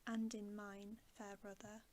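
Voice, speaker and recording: A woman reading a soft-spoken, stately young king. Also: mid-range voice, monotone, a little slow, slight background noise, natural voice. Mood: stately, resolute. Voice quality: soft, hushed. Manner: stately, archaic courtly phrasing. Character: noble young king, courtly and formal.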